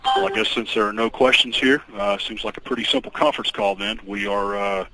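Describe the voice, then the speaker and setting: A low male voice with measured, formal phrasing, fielding investor questions as the company's executive. The delivery is guarded and businesslike. It comes from a conference call recording with clear background noise. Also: steady tone.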